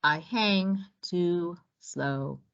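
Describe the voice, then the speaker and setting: A woman voicing a reluctant, apologetic storybook tortoise with a subdued, drawn-out delivery. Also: fairly low pitch, vocal fry, a bit bright, heavily acted. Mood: sluggish, reluctant. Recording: roomy, slightly dull recording.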